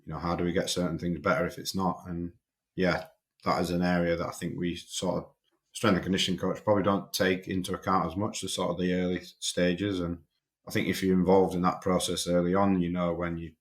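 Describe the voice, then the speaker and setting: A young man with a Yorkshire accent speaks in a casual podcast interview. His voice sits very low and stays flat and even, and he talks haltingly, often repeating words as he restarts sentences. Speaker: strength and conditioning coach, podcast guest.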